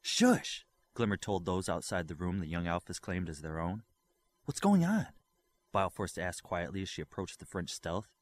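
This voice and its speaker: A man's voice: deep voice, fairly even intonation, fairly quick, hardly any pauses. A man reading a scripted story aloud as an audiobook narrator. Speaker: audiobook reader.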